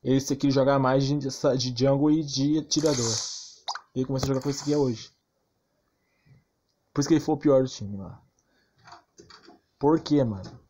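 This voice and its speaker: A man's voice: mid-range voice, fairly even intonation, moderate pace, pauses often, dark tone, a little hiss or hum. A man with a Brazilian accent giving informal game commentary, arguing insistently and repeating himself with plenty of slang. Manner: argumentative, insistent, repetitive, slangy. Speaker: gaming YouTuber.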